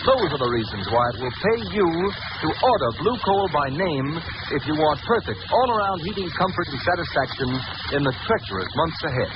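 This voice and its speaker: Animated, higher-pitched vintage radio announcer man. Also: brisk, few pauses. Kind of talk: scripted 1930s radio advertising. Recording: noisy, muffled vintage radio recording.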